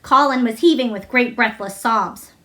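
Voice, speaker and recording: A woman reading a children's novel aloud as narrator, in an expressive storytelling style. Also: fairly high pitch, moderate pace. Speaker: storybook reader.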